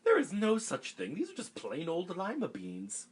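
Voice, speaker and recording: Man doing a kindly old woman, bright, sing-song, husky, lively pitch. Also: normal speed, heavily acted. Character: kind sweet plump old woman.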